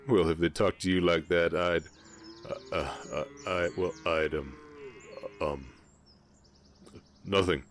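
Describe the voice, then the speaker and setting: Man playing a very deep-voiced Southern orc ranch hand. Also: fairly even intonation, slight background noise. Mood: flustered, earnest. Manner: halting, polite, soft-hearted drawl with folksy turns. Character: big strong gentle orc ranch hand, shy and guarded. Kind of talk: intimate ASMR romance roleplay, Western setting.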